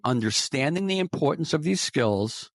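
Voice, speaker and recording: A man's voice: a little high, lively intonation, normal speed, no echo. A middle-aged man with a New York accent, a sales trainer speaking as a podcast guest in an emphatic, coaching way that repeats key phrases. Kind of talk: conversational interview.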